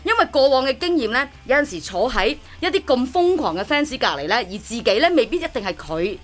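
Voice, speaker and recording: A woman radio co-host with a Hong Kong accent and a high voice that swings widely in pitch. She talks fast and tells things in an animated, chatty way. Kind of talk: casual radio banter.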